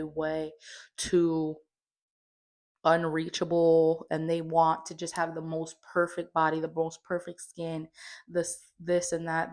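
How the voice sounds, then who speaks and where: A woman in her late twenties talking candidly in a casual personal vlog, with lots of fillers. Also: lowish voice. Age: 20s. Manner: chatty, candid, lots of fillers like "like" and "you know". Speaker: vlogger.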